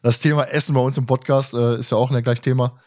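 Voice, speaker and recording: A man's voice: medium pitch, steady tone, fast, a little mellow. Man chatting casually as podcast host.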